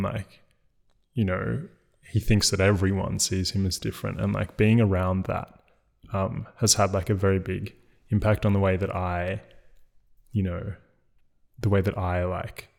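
A man hosting a podcast, talking in a relaxed casual way, full of filler words and trailing asides. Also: low voice, gravelly. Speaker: podcast host. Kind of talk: casual conversation.

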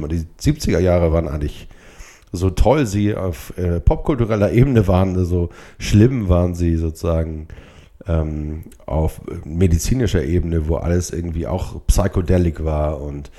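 A middle-aged or older man hosting a solo podcast, speaking reflectively and haltingly, with short blocks and pauses from a stutter. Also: a bass voice, warm tone. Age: 50s or older. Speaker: podcast host.

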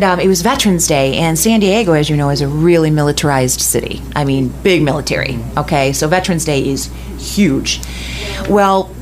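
A middle-aged woman from West Michigan, a radio host telling a long story in casual chat, often laughing and restarting her sentences. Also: lowish voice.

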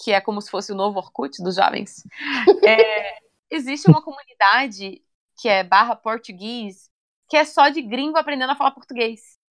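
A young woman chatting casually in an interview, relaxed and talkative, often ending phrases with little tag questions. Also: a little high, normal speed. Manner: chatty, relaxed, many fillers like "né" and "sabe".

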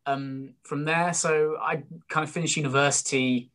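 A man's voice: on the high side, steady tone, normal speed, a bit bright. A British man in his early twenties, a musician being interviewed, chatting casually in a rambling, jokey, self-deprecating way. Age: early 20s. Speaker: guest, singer-songwriter musician.

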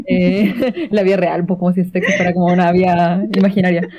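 Podcast co-host, woman, mid pitch, halting casual speech, muffled lo-fi audio. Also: a little mellow. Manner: hesitant, searching for words. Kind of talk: casual chat.

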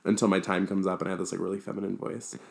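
Fast-talking very low-voiced young man. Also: flat delivery, creaky. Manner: rambling, full of "like" and "um" fillers. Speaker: podcast co-host. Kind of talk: casual chat.

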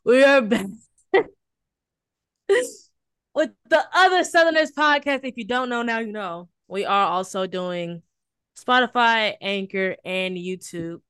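A woman's voice: a little high, slow, long pauses, a bit bright, clean tone, dry recording, studio quality. A young Southern woman from Houston, co-hosting a casual podcast in a playful, teasing way. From Houston, Texas. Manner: playful, teasing, hypes up her co-host. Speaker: podcast co-host.

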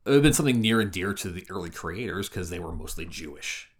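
A man's voice: deep voice, lively intonation, fast talker. A man co-hosting a podcast in casual conversation, often repeating words as he works out a thought. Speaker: podcast co-host.

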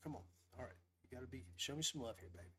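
A man's voice: mid-range voice, steady tone, rapid-fire, a bit bright. A man hosting a casual fishing livestream, talking in a rambling, folksy, upbeat way. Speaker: podcast host.

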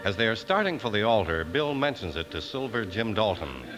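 A man's voice: lowish voice, very animated, fairly quick. Male old-time radio narrator over music.

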